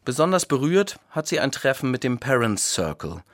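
A male pastor giving a scripted radio reflection, telling his account in measured sentences. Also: medium pitch, big pitch swings, dry recording, clean studio sound.

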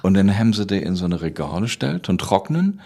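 A man in his sixties with a northern German Low German accent, telling anecdotes vividly in a conversational interview. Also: big pitch swings, quick pace, gravelly, dark tone, slightly rough. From island of Rügen, northern Germany. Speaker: playwright and storyteller, interview guest.

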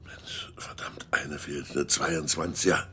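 An actor playing a gruff, easily flustered gun shop owner in a German radio play. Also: male voice, rumbling low, flat delivery, measured pace, fairly bright tone, whispered, exaggerated voice.

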